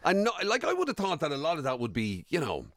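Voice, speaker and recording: A man's voice: fairly high pitch, huge pitch swings, quick pace, a bit bright, no echo. An Irish man co-hosting a radio show, chatting casually with wry banter into a studio mic.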